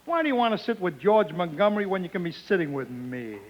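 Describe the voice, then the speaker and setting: A man's voice: high voice, sing-song, quick pace. A man hosting a 1940s radio comedy show, wisecracking and eager, playing off a live studio audience. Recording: dull old radio broadcast with studio audience and hiss.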